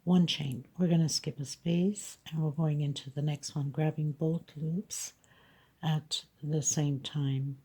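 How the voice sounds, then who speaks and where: Congested lady walking you through crochet stitches, husky, slow and steady. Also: sits low. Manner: slow, patient counting of stitches. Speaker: crochet instructor. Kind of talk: step-by-step craft tutorial.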